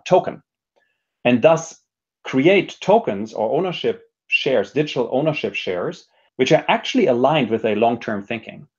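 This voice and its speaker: A man's voice: medium pitch, a little mellow, slightly rough, highs cut off. A man speaking as an interview guest, explaining ideas conversationally and often checking in with "right?". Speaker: podcast guest, entrepreneurship expert.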